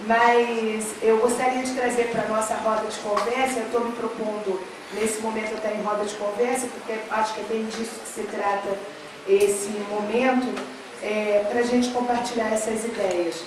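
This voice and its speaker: A woman's voice: fairly high pitch, flat delivery, normal speed, noisy background. A middle-aged woman from Rio de Janeiro with a Carioca accent touched by São Paulo, giving an earnest, filler-laden speech at a public forum, growing more emphatic as she goes. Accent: Carioca Portuguese, mixed with São Paulo. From Rio de Janeiro, Brazil. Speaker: education official speaking on a panel. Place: large hall at a public forum. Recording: echoey hall, speaker far from mic.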